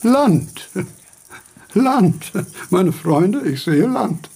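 An actor playing a frail, eccentric old philosopher in a radio drama, halting and pedantic, with a husky, creaky voice. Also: male voice, high voice, very animated, darkish tone, heavily acted. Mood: exalted. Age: older. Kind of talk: German radio drama acting.

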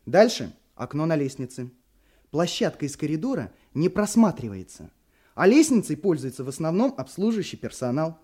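An actor playing a breezy, wisecracking police operative in a Russian radio drama, giving clipped reports with a jaunty, playful manner. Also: male voice, on the high side, big pitch swings, a little fast, dark tone. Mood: brisk reporting.

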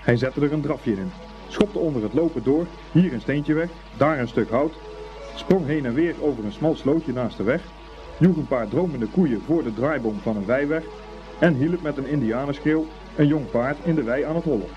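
Guy telling a story in an old radio play, soft mellow voice, dull recording, faint music. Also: mid-range voice, animated, moderate pace. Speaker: narrator.

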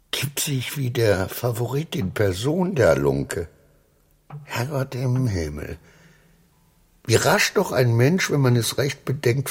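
An actor in a German radio play playing an agitated, servile, self-righteous gentleman who stammers, repeats himself and pleads in a husky voice. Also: medium pitch, dry recording, full character voice. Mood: bitter envious muttering. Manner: stammering, repetitive, pleading, swinging from muttering to outbursts.

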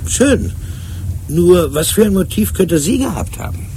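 A man's voice: high-pitched, very animated, mellow. Dry doubting cop sidekick, takes his time, up and down intonation, radio drama, noisy behind. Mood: sceptical. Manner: dry, unhurried, questioning. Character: sceptical, dry police sergeant deferring to his superior. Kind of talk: German radio crime drama acting.

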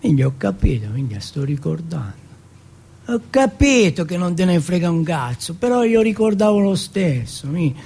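A man playing an excitable, foul-mouthed teenage street boy from Turin in a stage monologue, slangy and repetitive. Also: high voice, normal speed, creaky, slightly rough, exaggerated voice. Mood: agitated, pleading. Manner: slangy, repetitive, swearing at every breath.

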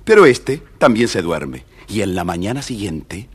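A man with a husky voice narrating a children's fairy tale dramatically, as a scripted storyteller. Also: on the low side, very animated, unhurried.

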